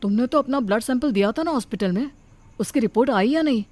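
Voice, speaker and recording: Woman doing young husband in a cartoon story, highish voice, caring, mild, kinda concerned questions. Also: expressive, fast, darkish tone, no room sound. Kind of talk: cartoon moral story voice acting.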